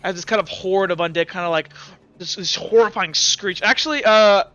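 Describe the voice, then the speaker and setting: High, bright-voiced young man running the game. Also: normal speed, smooth, no echo, dull audio. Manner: rambling, many fillers and self-corrections. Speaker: game master. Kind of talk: running a tabletop game online.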